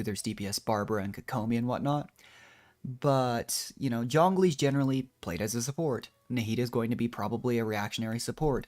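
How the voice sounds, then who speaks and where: Guy streaming a video game, normal mid pitch, just rambling thru his thoughts casually. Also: darkish tone. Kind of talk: casual gameplay commentary, thinking aloud.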